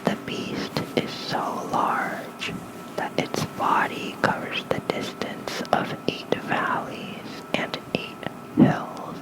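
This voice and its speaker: A gentle ASMR host who whispers throughout, breathy and very slow. The delivery is a hushed bedtime reading with small murmured asides, spoken close into a covered microphone. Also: rough recording. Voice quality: soft, unvoiced, breathy whisper. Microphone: close mic with fluffy cover.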